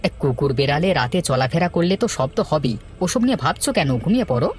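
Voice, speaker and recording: Man acting quick-talking, sensible village husband. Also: a little high, big pitch swings. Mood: sleepy, dismissive. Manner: admonishing, plain-spoken. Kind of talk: cartoon folk-tale acting. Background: music.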